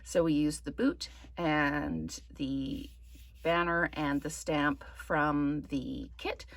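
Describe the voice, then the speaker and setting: A woman from Canada giving a relaxed craft tutorial, her voice slightly croaky from smoke, rambling with many ums. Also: low voice, slow, dry recording. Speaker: card-making demonstrator. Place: indoors at a craft table.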